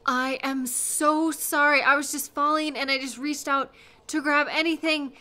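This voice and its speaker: Bright-voiced, nervous young woman, acted by a woman. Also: high voice, steady tone. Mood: flustered, apologetic. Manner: halting, self-talking, giggly and apologetic. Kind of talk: intimate audio roleplay acting.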